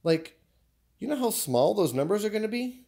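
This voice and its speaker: A man with an American accent chatting casually and haltingly, thinking aloud as he shows off purchases in a living room. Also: high voice, big pitch swings, gravelly, dark tone. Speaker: vlogger showing purchases. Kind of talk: casual unboxing chat.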